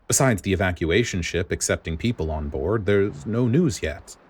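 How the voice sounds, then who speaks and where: A man's voice: sits low, animated, moderate pace, slight background noise. A man voicing a deferential, anxious ship's captain in a story, speaking hesitantly and respectfully to a superior. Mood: cautious concern. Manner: hesitant, respectful, snapping to attention.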